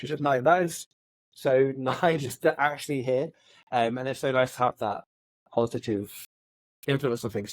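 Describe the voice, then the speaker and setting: A man's voice: on the high side, dry recording. Encouraging male podcast host, dry sound. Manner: encouraging, frequent soft backchannels. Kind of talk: conversational podcast interview.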